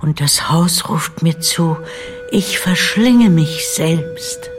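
An older woman playing the bitter, devoted ghost of a family maid, narrating a radio drama in a brooding, deliberate way. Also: deep voice. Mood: haunted. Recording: studio radio play over loud music.

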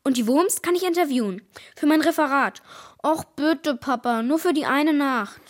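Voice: high-pitched, moderate pace, lightly acted. An actor playing an eager, persuasive schoolboy in a children's radio play. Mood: pleading, eager.

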